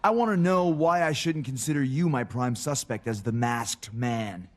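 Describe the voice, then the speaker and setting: A man's voice: medium pitch, normal speed, natural voice. A man playing a determined, suspicious pro wrestler hunting a culprit in a video game story. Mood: accusing. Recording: clear background noise.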